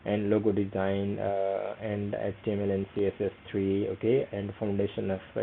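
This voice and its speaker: A man's voice: on the low side, gravelly, slight background noise. A young man with a Nepali accent, a native Nepali speaker, walking through a screen-share tutorial in hesitant English full of fillers and repeated "okay". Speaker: vlogger. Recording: tinny phone-like audio.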